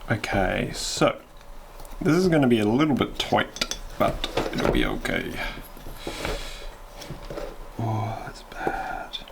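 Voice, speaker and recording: Guy with the flu doing his own boat wiring, kinda sniffly, talks slow, gravelly voice. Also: on the low side, huge pitch swings. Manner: thinks aloud hesitantly while working with his hands. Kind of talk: casual vlog narration while working. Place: inside a small sailboat cabin. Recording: noisy background.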